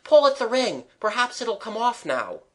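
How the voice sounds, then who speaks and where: A narrator voicing a bossy, excitable schoolboy who leads the others in a children's audiobook adventure. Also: mid-range voice, moderate pace, pitched above the performer's own voice, lightly acted. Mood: urgent. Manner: urgent, excitable.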